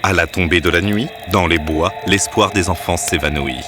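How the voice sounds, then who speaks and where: A man's voice: low-pitched, normal speed, a bit bright, a little hiss or hum. A man narrating a scripted fairy tale as a storyteller. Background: background music.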